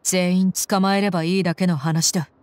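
A woman's voice: lowish voice, level tone, a little fast, darkish tone, slight background noise, natural voice. An actress playing a bold, protective female desert mercenary in an anime game, with blunt tomboyish phrasing. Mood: confident.

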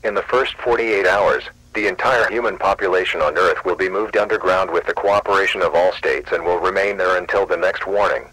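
Synthetic male alert voice: low, sharp-edged, slightly husky, dry, flat and official. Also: fairly quick, hardly any pauses, no room sound, poor audio. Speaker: emergency broadcast announcer. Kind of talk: scripted emergency alert announcement.

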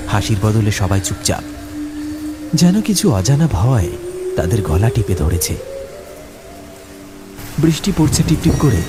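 A man narrating a scripted horror story in a dramatic, suspenseful manner. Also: medium pitch.

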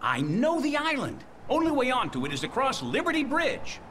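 A man's voice: very high-pitched, huge pitch swings, normal speed, pushed and bright, a little hiss or hum, exaggerated voice. A man voicing a cheerful, eager young male robot scout in a video game.

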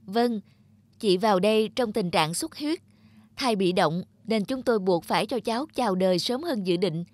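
A woman acting a calm, reassuring young hospital nurse in an animation, formal in manner. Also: medium pitch, moderate pace, no room sound, lightly acted. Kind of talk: animated moral-tale dubbing.

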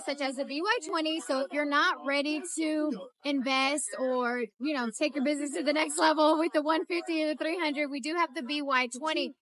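A woman in her thirties hosting a vlog at a trade expo, giving an upbeat product demo pitch and repeating phrases for emphasis, filmed on a phone at arm's length. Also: pushed and bright. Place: busy indoor trade expo floor. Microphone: phone held at arm's length.

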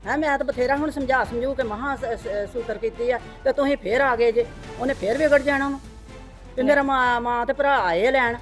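A woman acts out a stubborn, scolding middle-aged mother-in-law in a homemade family skit. Her voice is high and her talk is sharp and dismissive, with faint music playing behind. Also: a little fast, natural voice.

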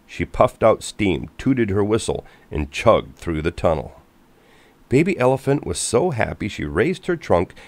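A man narrating a children's storybook from a script in an expressive, clearly paced manner. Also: low voice, dry recording.

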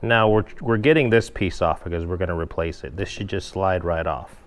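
A man's voice: deep voice, brisk. A man casually walking viewers through a step-by-step install in a garage workshop, talking straight to them. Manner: relaxed, explains step by step, addresses viewers directly. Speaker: kayak fishing vlogger. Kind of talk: casual hands-on tutorial.

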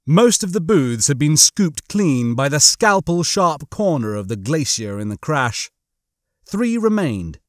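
A man narrates a comic science fiction novel with a theatrical sense of suspense. His voice sits fairly high and swings widely in pitch. The recording is dry and close. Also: sing-song, unhurried, no echo. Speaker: audiobook narrator.

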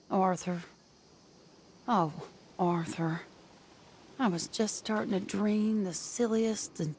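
An actress playing a genteel, wistful American woman in love, measured and refined, in a Western video game. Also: medium pitch, darkish tone, slight background noise. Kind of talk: cinematic game dialogue and letter reading.

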